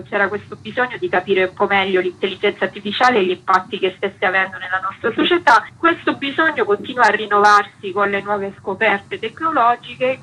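Woman curator being interviewed, bright but raspy edge, explains things at length. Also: medium pitch, low-quality recording. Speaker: art exhibition curator, interview guest.

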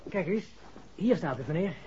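Casting: male actor for keen, informal servant lad, high-pitched, muffled old radio play. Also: lively intonation, normal speed, darkish tone. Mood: chirpy, helpful. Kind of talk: 1960s Dutch radio drama acting. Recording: dull, faintly noisy old radio recording.

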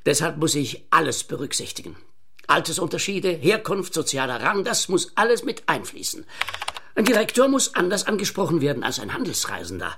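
A man's voice: fairly high pitch, very animated, a little fast, vocal fry, bright, forceful tone, breathy edge, full character voice. An actor playing a fussy, earnest inventor absorbed in his business system in a radio play. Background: computer keyboard typing.

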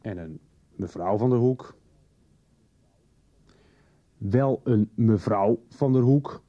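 An actor playing a stern, threatening police detective who questions sarcastically in a radio drama. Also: male voice, fairly low pitch, takes it slowly. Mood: sarcastic, pressing. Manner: pressing, sarcastic interrogator. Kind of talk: 1990s radio drama acting. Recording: dull lo-fi 1990s radio drama.

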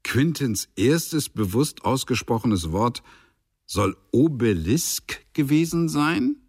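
An actor playing a sceptical, condescending senior cherub who questions an angel in a radio play. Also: male voice, mid-range voice, expressive, slow, a little mellow, breathy edge, no room sound, studio quality, heavily acted. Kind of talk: radio drama acting.

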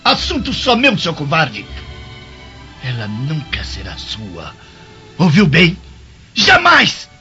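An actor with a Brazilian accent playing a hot-headed, jealous young Roman son in a radio drama. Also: male voice, on the high side, wildly swooping pitch, takes it slowly, long pauses, pushed and bright, full character voice. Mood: furious, jealous. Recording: muffled radio drama with music behind.

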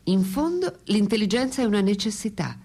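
A woman's voice: mid-range voice, big pitch swings, a little fast, darkish tone. An actress in a radio play voicing a thoughtful, candid French woman intellectual with an articulate, reflective way of speaking. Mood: thoughtful, probing. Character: female French intellectual, philosopher's lifelong companion, thoughtful, candid. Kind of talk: radio play dialogue and monologue.

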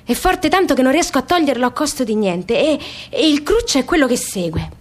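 An actress playing an anxious, defiant young daughter in a radio play, with a southern Italian accent and colloquial, halting, repetitive phrasing. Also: female voice, sits high, expressive. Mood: worried, pleading. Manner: colloquial, hesitant, repeating phrases emphatically. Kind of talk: stage-like radio theatre dialogue.

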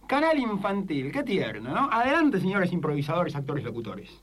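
A man's voice: sits high, huge pitch swings, moderate pace. A man hosting an improvised comedy show, calling out instructions in a theatrical announcer style. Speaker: host directing improvisers. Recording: distant mic with clear noise.

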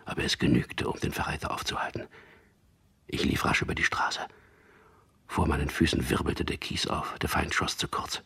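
An actor playing a tormented, confused captured resistance fighter in a radio drama, hushed, halting and intense. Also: male voice, steady tone, heavily acted. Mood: tense, hushed recollection. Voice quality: very low, breathy, gravelly whispery voice. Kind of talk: radio drama acting with first-person narration.

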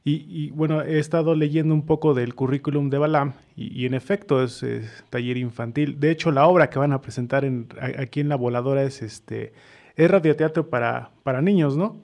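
A Mexican man speaks as the host of a radio interview. His voice is medium in pitch with plenty of vocal fry. He talks conversationally, circling hesitantly around a point before arriving at his question. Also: brisk, a little mellow.

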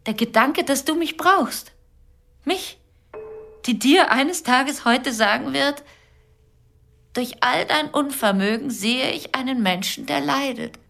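An actress playing a grieving, bitter wife and mother in a radio drama, speaking intensely in deliberate, broken phrases. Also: sits high, creaky, fairly bright tone, full character voice. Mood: tender, pained.

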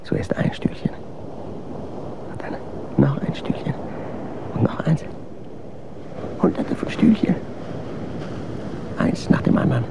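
Slow, gravelly, bleak melancholic man. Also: medium pitch, very animated, in a whisper, exaggerated voice, poor audio. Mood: bleak, brooding. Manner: slow, fragmentary, repetitive. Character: weary melancholic man reciting bleak death-wish verses. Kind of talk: slow poetic radio drama recitation.

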